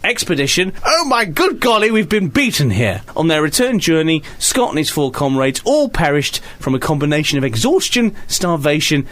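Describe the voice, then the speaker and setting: High-pitched man, jokey radio host. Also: a bit bright. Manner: jokey, rambling, mock-grand. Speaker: breakfast radio podcast host. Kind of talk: casual comic banter.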